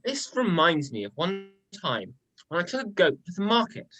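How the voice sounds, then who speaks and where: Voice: medium pitch, big pitch swings, normal speed, bright, forceful tone, rough recording. An actor playing a snarky, irreverent male trickster fool with sardonic delivery in a play reading. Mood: mocking.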